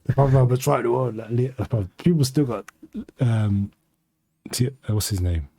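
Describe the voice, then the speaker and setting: A man's voice: medium pitch, moderate pace, dark tone, no room sound. A man hosting a podcast in casual chat, slangy and rambling, sniffly from hay fever. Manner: slangy, rambling, laughs easily. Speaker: podcast host.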